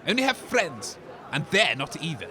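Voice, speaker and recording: A man's voice: on the high side, huge pitch swings, normal speed, sharp tone, exaggerated voice. A man playing a blustery, scornful radio co-host giving indignant live commentary in a comedy audio drama. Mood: grumbling. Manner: blustery, grumbling exclamations. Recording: outdoor event with crowd behind.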